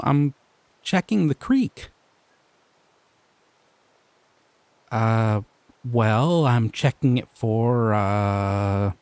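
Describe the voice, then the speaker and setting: A man voicing a gentle, curious male slime creature in an audio roleplay, talking sweetly and bashfully. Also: mid-range voice, no room sound. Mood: flustered.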